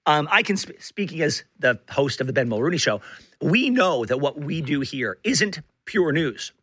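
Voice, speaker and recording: Bright, slightly hoarse male radio host. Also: fairly high pitch, dry recording. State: hoarse, rough voice from being unwell. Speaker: radio talk show host. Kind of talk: talk radio monologue and banter. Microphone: close studio broadcast mic.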